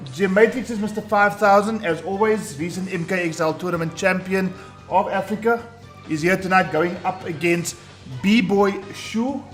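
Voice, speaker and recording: A man with a booming voice hosting a live tournament stream, hyped and talking straight to his chat. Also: normal speed. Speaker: tournament host. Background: faint background music.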